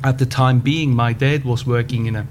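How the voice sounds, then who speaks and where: A man of about fifty with a Swiss German accent, answering interview questions in a measured way with frequent pauses. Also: medium pitch, steady tone. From Switzerland. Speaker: podcast guest, business owner. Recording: background hum.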